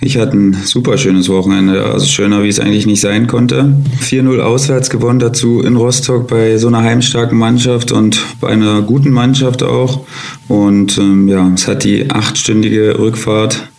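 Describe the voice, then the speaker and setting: A man with a low, gravelly voice tells stories about his team in a flat, even tone. He speaks emphatically and keeps restarting his sentences. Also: normal speed. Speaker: podcast co-host, footballer. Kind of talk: casual sports podcast chat. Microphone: phone line.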